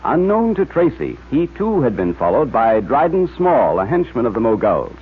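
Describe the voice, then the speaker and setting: A man's voice: mid-range voice, wildly swooping pitch, moderate pace, breathy edge. A man announcing and narrating in scripted 1940s radio style. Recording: muffled, tinny old radio recording.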